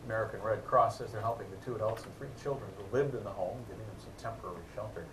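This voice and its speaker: A man's voice: lowish voice, very fast, noisy background. A man anchoring a TV newscast in a broadcast news reading style. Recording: control room chatter behind the voice.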